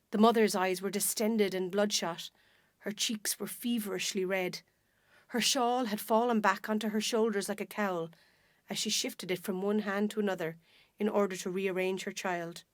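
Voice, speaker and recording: A woman's voice: medium pitch, no echo. Irish woman narrator, close and dry. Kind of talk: dramatic literary story narration.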